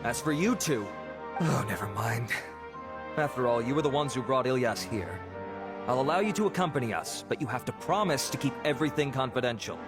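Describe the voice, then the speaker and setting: A man's voice: medium pitch, animated, a little fast, over music, lightly acted. A man playing a formal, dutiful investigator. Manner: formal, polite, businesslike.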